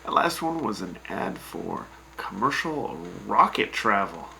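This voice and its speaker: A wry radio host, played by a performer, speaks in a gravelly, bright voice. The host teases the cohosts in a dry way. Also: sits low, big pitch swings, measured pace, a little hiss or hum, exaggerated voice, poor audio. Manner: wry, teasing. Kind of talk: fan radio drama.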